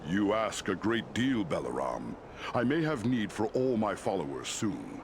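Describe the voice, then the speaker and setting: An actor playing a stately, wise lord of giant eagles in a fantasy game, speaking with regal formality. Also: male voice, fairly low pitch, very animated, unhurried, noisy background. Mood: solemn, regal. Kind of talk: fantasy game cutscene acting.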